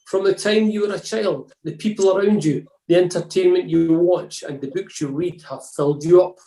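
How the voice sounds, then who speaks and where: Mellow-voiced man reading in an echoey room. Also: high-pitched, moderate pace. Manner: calm, earnest reading. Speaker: devotional reader. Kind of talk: scripted church reflection reading. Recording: roomy echo.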